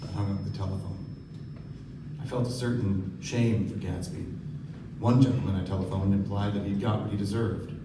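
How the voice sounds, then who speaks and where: A man's voice: lowish voice, level tone, normal speed, noisy background, lightly acted. A man playing an earnest, sombre narrator in a live radio play, delivering scripted literary prose and dialogue. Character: earnest, sombre male narrator and loyal friend. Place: live stage performance. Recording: echoey distant stage.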